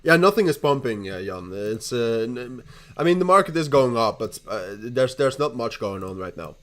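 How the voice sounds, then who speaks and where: A young man with a Dutch accent chats loosely into a desk mic, laughing now and then and tripping over repeated words. His pitch sits mid-range for a man, and keyboard typing clacks in the background. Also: animated, normal speed, a bit bright. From Netherlands. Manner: rambling, laughs often, many repeated starts, casual swearing. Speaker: crypto livestream host. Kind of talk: casual livestream chat while trading.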